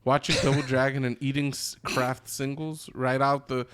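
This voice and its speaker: A man's voice: mid-range voice, little pitch movement, normal speed, fairly bright tone, clean tone. Emphatic man bantering on a podcast. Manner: emphatic, hyperbolic, slangy and profane. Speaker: podcast co-host. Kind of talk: casual podcast banter about movies.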